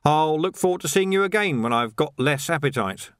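A man's voice: fairly high pitch, lively intonation, fairly quick, fairly bright tone, clean tone, no room sound, pitched above the performer's own voice. A man voicing a wry male city watch sergeant with a dry, mock-innocent manner, in an audiobook story.

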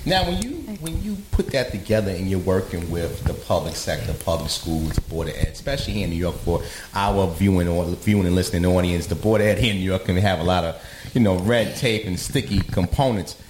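Low-pitched male radio host, roomy sound. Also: lively intonation, fairly quick, a little mellow, a little hiss or hum. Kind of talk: casual conversational interviewing.

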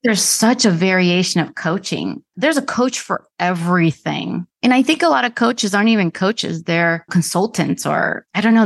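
A middle-aged woman from the Dominican Republic speaks as a guest coach, with a voice that sits on the low side. Her delivery is earnest and animated, rushing through some phrases and drawing others out. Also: vocal fry. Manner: earnest, animated, speeds up and slows down. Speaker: executive spiritual coach, guest. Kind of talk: conversational interview.

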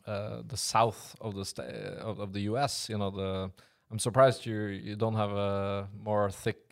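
A man co-hosting a casual chat, speaking haltingly with many fillers and restarts. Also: lowish voice, vocal fry.

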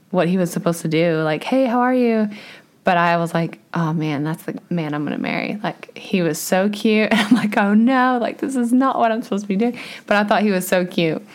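A woman co-hosting a podcast with her husband, chatty and animated, her voice a little scratchy, laughing often. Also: on the low side. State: slightly scratchy voice. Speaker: podcast co-host. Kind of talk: casual chat.

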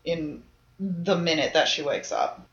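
A woman in her thirties co-hosting a podcast, joking and teasing her friend in a relaxed chat from a couch at home. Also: deep voice, measured pace. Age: 30s. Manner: teasing, joking, laughs easily. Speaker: podcast co-host. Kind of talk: casual chat. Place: home living room on a couch. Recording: roomy, dull home recording.

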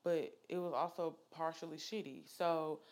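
Low-voiced woman chatting casually. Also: steady tone, normal speed, darkish tone. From Alabama, US. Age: late 20s. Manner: chatty and candid, with frequent fillers. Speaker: podcast host. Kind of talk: casual solo podcast chat.

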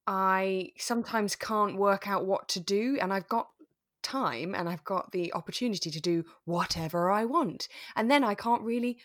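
A woman's voice: medium pitch, moderate pace, a bit bright, dry recording, studio quality. A woman co-hosting a podcast, chatting casually and informally about a radio drama. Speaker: podcast co-host.

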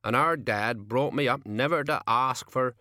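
A man's voice: medium pitch, unhurried, sharp tone, dry recording, studio quality, pitched above the performer's own voice. A man voicing a humble, embarrassed old peasant in a story, with timid, mumbling rustic speech.

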